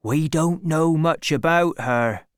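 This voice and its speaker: A woman's voice: low-pitched, slow pace, no echo, studio quality, pitched above the performer's own voice. A woman voicing hearty, sociable, plain-spoken village farm men in a storybook reading. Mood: welcoming.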